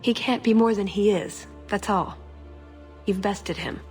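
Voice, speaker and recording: An actress playing a quick-witted, practical elf rogue in a video game, talking in a dry, casual, cheeky way. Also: female voice, mid-range voice, soft background music, natural voice. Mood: earnest. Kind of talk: video game cutscene acting.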